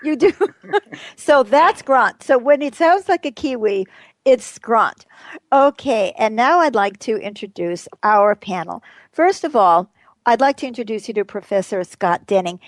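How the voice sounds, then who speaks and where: A woman's voice: on the high side, lively intonation, unhurried, dry recording. Bubbly woman radio host, dry sound. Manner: bubbly, laughs often, emphatic. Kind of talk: hosting a radio panel discussion.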